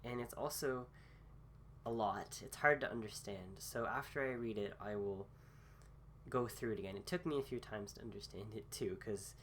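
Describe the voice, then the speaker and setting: A man recovering from a cold gives a casual philosophy talk with many pauses, fillers and restarts. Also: lowish voice, a bit bright, slight background noise. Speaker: host.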